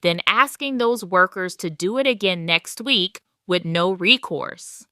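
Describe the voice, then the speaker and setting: Woman doing steely calm accountant mom in audio drama, pointed, slow n super clear. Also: fairly low pitch, dry recording, studio quality. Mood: coolly confrontational. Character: composed, steely retired accountant mother confronting her in-laws.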